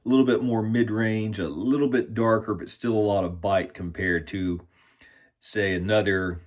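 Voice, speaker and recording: Low-voiced man reviewing guitar gear. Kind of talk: casual product review and demo talk.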